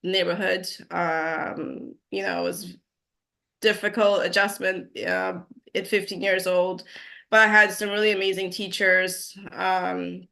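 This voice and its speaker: A woman's voice: medium pitch, little pitch movement, a little slow, creaky, sharp tone. A woman in her forties from Guyana, a nurse practitioner telling her story as an interview guest, with many fillers and easy laughs. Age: 40s. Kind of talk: interview conversation.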